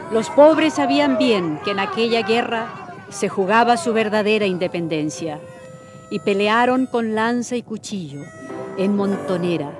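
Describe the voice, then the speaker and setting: A woman giving dramatic, solemn scripted narration for a radio play. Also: medium pitch. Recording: clear music behind the voice.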